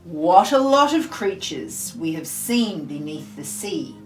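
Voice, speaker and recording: A woman reads a picture book to young children in a lowish voice. She is theatrical and deliberate, with lively intonation, in an echoey room. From Australia. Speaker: storyteller, school librarian. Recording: roomy echo, faint music behind.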